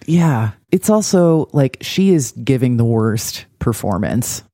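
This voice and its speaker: A man's voice: mid-range voice, sing-song, slow, mellow, no echo, studio quality. A man co-hosting a casual TV recap, with a critical, wry, digressive way of talking. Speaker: podcast co-host.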